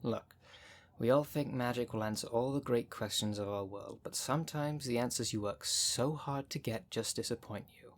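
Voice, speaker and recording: A man's voice: mid-range voice, moderate pace, lightly acted. A man playing a jaded, sardonic thirty-two-year-old witch in an ASMR roleplay, talking in a dry, sarcastic, conversational way. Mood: jaded, wry. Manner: dry, sarcastic, sweary, sighing.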